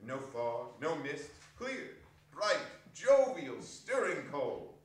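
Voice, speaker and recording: A man narrating a dramatic reading on stage, theatrical and expressive, relishing lists and repeated phrases. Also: fairly high pitch, takes it slowly. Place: indoor performance space. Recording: echoey hall, distant microphone.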